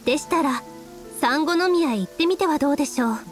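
Woman doing high gentle young priestess commander, polite n composed, anime game style, bit of music and rain behind. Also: natural voice. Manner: polite, formal, measured. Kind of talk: Japanese video game voice acting. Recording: music and rain under dialogue.

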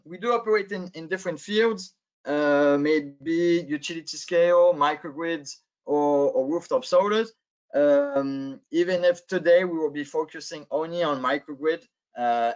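A man in his thirties with a French accent, giving a webinar presentation on a video call through a headset, with many filler sounds. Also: on the high side. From France. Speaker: guest speaker, sales manager. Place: indoor office, video call. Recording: dull lo-fi video call audio.

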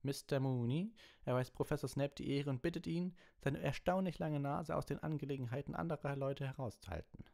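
A man's voice: mid-range voice, fairly quick, vocal fry, hardly any pauses, darkish tone, pitched above the performer's own voice, close to an everyday voice. A man voicing an enchanted map that delivers insults with mock-polite formality in an audiobook. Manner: formal, mocking. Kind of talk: audiobook character reading.

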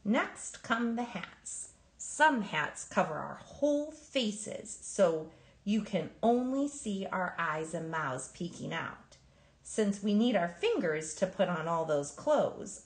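A woman reading a children's picture book aloud in an expressive, emphatic storytelling manner. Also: lowish voice, roomy sound. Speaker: storybook reader.

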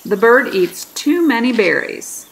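A woman reading a children's picture book aloud as an expressive, deliberate narrator, putting dramatic emphasis on key words. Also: on the high side, slight background noise, poor audio. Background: music behind the voice.

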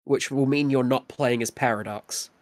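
A man's voice: mid-range voice, normal speed, no echo, studio quality. A man with a slightly stuffy, sniffly nose, chatting casually and jokingly as a guest co-commentator on a game. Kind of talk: casual joking chat over gameplay.

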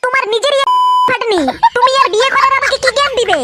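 A woman's voice: very high voice, fast talker, heavy background noise. A woman voicing a heartbroken young man in a comedy cartoon, melodramatic and whining about being jilted. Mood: distraught. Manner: wailing, melodramatic.

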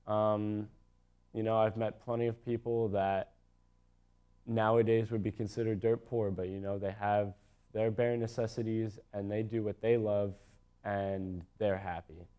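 A man's voice: lowish voice, flat delivery, a little slow, warm tone, clear, resonant tone. An eighteen-year-old man answering thoughtfully in a documentary interview, seated in a studio. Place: studio with plain backdrop.

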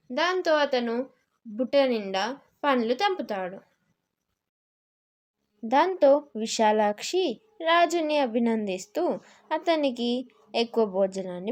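High-pitched, slow woman storyteller. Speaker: narrator of children's moral stories. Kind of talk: scripted storybook narration.

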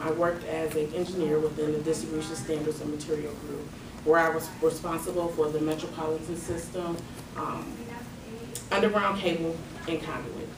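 A woman in her thirties from Detroit giving a prepared speech at a podium in a meeting room, with measured delivery and dry humor. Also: sits low. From Detroit, Michigan. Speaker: club speaker giving an icebreaker speech. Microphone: far camera. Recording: roomy and distant with noise.

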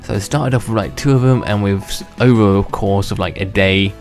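A young man with a lowish voice talks through a game in a loose, rambling way, often restarting and chuckling at himself. He sounds a little worn, as if he is under the weather. Faint background music plays beneath his voice. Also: steady tone, a little fast. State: unwell, slightly worn voice. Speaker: gaming channel host. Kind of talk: casual gameplay commentary.

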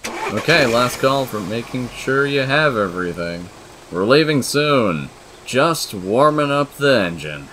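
A man's voice: mid-range voice, animated, a little slow, noisy background, close to an everyday voice. A man voicing a serious, grumpy male snake in a comic dub, with a dry, dramatic, stern manner. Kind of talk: comic dub character acting.

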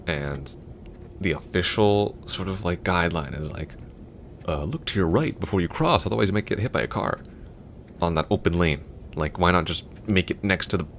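A man's voice: fairly bright tone, a little hiss or hum, exaggerated voice. A young man playing a chatty, goofy, affectionate long-distance boyfriend in a roleplay audio, talking casually in a rambling, hesitant way. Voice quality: very deep, gravelly.

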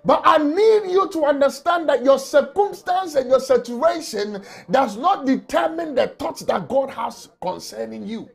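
Male pastor, high lively voice, slow gravelly delivery, faint music behind. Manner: impassioned, often shouting and crying out. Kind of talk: emotional sermon. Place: small office, indoors. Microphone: lapel mic.

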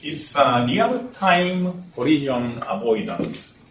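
An older man giving an engineering lecture in English with non-native phrasing, speaking deliberately and stressing key words. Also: medium pitch, animated. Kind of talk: academic lecture. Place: lecture room. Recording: roomy, distant lecture hall capture with faint room noise.

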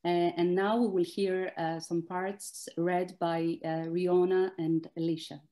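A woman whose first language is Greek delivers a prepared academic lecture. Her manner is measured and formal, and she pauses often on small filler sounds. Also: lowish voice, little pitch movement, dark tone. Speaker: academic speaker.

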